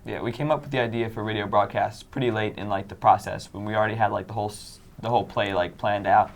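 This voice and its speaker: Teen guy chatting in an interview, talks fast, lots of vocal fry. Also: on the low side, fairly even intonation. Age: teenager. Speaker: student actor being interviewed. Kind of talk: casual chat.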